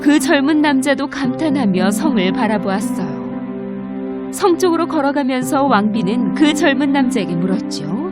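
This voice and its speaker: High-voiced woman telling a children's story. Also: animated, normal speed. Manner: gentle storytelling. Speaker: narrator. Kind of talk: scripted children's story narration. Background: loud background music.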